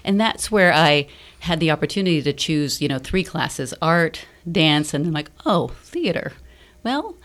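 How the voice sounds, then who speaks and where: An American woman from California answering conversationally as a studio interview guest, in a halting, reflective way. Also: deep voice, sing-song, a bit bright. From Fresno, California, United States. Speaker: guest, theater director and teacher. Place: radio studio.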